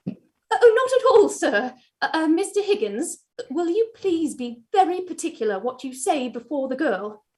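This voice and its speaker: A woman's voice: high-pitched, very animated, normal speed, a little mellow. A woman playing a prim, respectful but firm housekeeper, deferential and insistent, in a staged play reading. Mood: polite, anxious.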